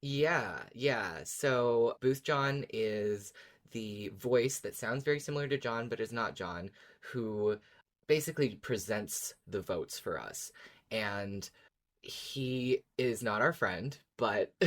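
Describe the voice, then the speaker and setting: Casual podcast co-host, mid-range voice. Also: medium pitch. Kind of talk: casual podcast discussion of a fiction series.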